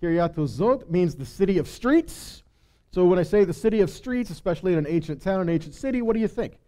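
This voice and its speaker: A man's voice: high voice, lively intonation, fast talker, warm tone. A man giving an informal Bible study, retelling stories conversationally, acting out the dialogue and often checking in with a rhetorical "right?". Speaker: Bible teacher leading a weekly Torah study. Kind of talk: informal sermon, Bible teaching with acted-out paraphrase.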